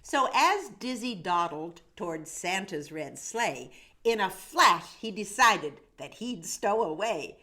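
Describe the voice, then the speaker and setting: Female storyteller for rhyming children's verse: unhurried, animated intonation, measured and expressive. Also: mid-range voice. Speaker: author reading own story.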